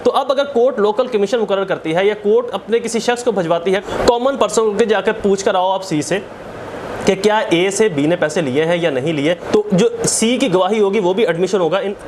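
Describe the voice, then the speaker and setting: Male lecturer, Pakistani accent, high voice, didactic and repetitive for emphasis, slightly noisy recording. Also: normal speed. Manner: didactic, repeats phrases for emphasis. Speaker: law lecturer. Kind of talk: explanatory lecture mixing read-out statute with examples.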